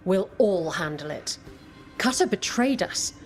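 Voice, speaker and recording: A woman's voice: mid-range voice, lively intonation, a little slow, faint music behind, close to an everyday voice. An actress playing a confident, practical female adventurer and ally in a fantasy video game. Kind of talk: video game cutscene acting.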